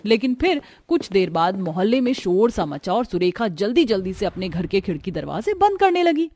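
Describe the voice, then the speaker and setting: A woman's voice: mid-range voice, sing-song, moderate pace, a little mellow, slight background noise. A woman narrating a scripted family cartoon story.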